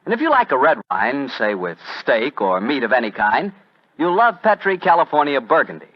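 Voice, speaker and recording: A male American announcer with folksy salesmanship and a very lively, swooping delivery. His voice comes through a muffled vintage radio recording. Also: mid-range voice, normal speed. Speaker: announcer and sponsor pitchman. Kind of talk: 1940s radio advertising and hosting.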